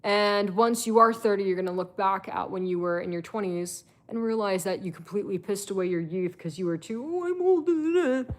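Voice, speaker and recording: A woman's voice: mid-range voice, fairly even intonation, brisk, hardly any pauses. A woman in her twenties giving a blunt, chatty live-stream monologue, full of fillers and swearing. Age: 20s.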